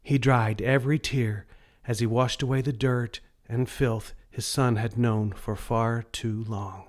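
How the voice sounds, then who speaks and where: A man narrating a scripted children's story in a deliberate read-aloud manner. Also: medium pitch, steady tone.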